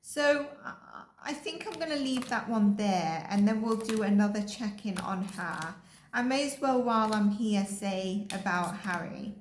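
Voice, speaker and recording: A woman giving a casual psychic reading, rambling and filling her pauses with "um" and "like". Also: medium pitch, slight background noise. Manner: rambling, with frequent ums and likes. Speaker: psychic energy reader. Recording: echoey room.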